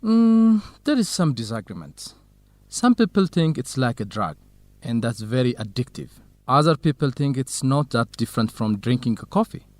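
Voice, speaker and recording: An actor playing a modest, easygoing male university researcher in an educational radio drama, polite, low-key and frank. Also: sing-song, moderate pace, darkish tone, no room sound, lightly acted. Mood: explaining.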